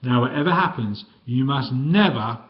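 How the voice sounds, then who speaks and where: A man's voice: medium pitch, expressive, moderate pace, muffled recording, close to an everyday voice. A man voicing a huge, jolly old female ex-wrestler with a guttural voice. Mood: hearty.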